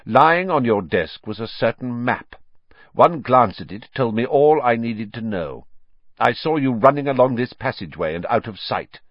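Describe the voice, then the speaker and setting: A man's voice: medium pitch, animated, moderate pace, no echo, muffled recording, lightly acted. A man voicing a sneering, vindictive wizard teacher in an audiobook, menacing and sarcastic, his delivery silky, hissing or spitting. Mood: smug, gloating. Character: sneering, vindictive, fanatical male wizard schoolteacher.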